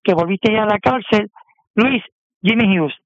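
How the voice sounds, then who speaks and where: A man hosting on the radio, introducing a guest in a brisk, announcing way. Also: sits high, dry recording. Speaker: radio host. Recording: dull muffled radio sound.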